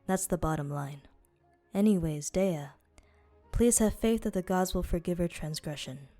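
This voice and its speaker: A woman voicing a devout, courteous desert guardian in an amateur game dub, speaking in a formal, measured, advising manner. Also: fairly low pitch, dark tone, soft background music, natural voice. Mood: gently admonishing.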